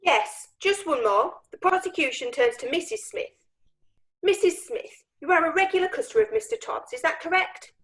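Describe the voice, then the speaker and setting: An actress playing a brisk female prosecutor questioning witnesses formally in a period courtroom play. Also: very high voice, lively intonation, fairly bright tone. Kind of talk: theatrical courtroom play acting.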